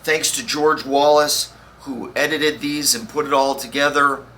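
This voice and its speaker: Very bright-voiced, excited man hosting. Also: medium pitch, measured pace, a little hiss or hum, rough recording. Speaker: host introducing a poetry reading. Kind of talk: event introduction.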